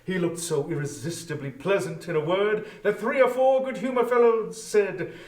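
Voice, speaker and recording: Male narrator, high-pitched voice, expressive measured storytelling, scripted dramatic reading, echoey distant recording. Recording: echoey room, distant from microphone.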